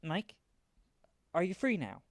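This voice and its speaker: An actor plays an anxious, helpful friend in an audio drama. The voice has plenty of fry and sounds casual and concerned, in a very dry, close recording. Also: medium pitch, huge pitch swings, slow pace, no room sound, heavily acted. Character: Sam, anxious, helpful acquaintance checking in.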